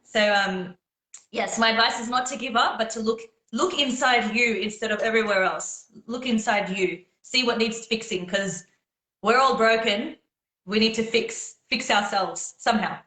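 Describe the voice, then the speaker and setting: High-voiced woman, earnest and encouraging. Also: moderate pace, bright, forceful tone, echoey room, low-quality recording. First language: Gujarati. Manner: earnest, encouraging, laughs often. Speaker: guest singer. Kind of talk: casual live video chat.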